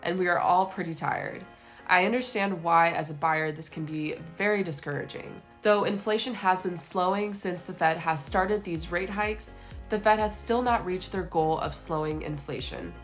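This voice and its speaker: Young female agent, stuffy nasal voice from a cold, a bit low-pitched, bright presenter read over soft music. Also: moderate pace. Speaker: real estate agent. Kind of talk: scripted market update to camera. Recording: faint music behind.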